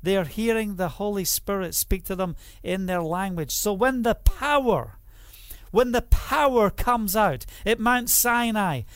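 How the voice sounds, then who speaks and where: High-voiced Scottish preacher, dry sound. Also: male voice, lively intonation, a little slow, a bit bright, no echo. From Scotland. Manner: asks the audience questions and answers them; reads scripture aloud then explains it. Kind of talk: sermon.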